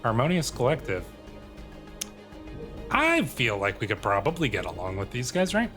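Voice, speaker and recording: A man's voice: medium pitch, huge pitch swings, moderate pace. Man narrating his gameplay over prominent music. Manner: musing, talks to himself. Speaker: gaming video host. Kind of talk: roleplay game commentary, reading on-screen text aloud and thinking out loud. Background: game music.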